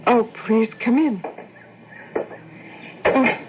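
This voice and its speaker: A woman playing an anxious, fragile wife and mother in a 1970s radio drama, fretful and halting as she tries to hold herself together. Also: fairly high pitch. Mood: flustered, polite. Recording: noisy, narrow-band old radio recording.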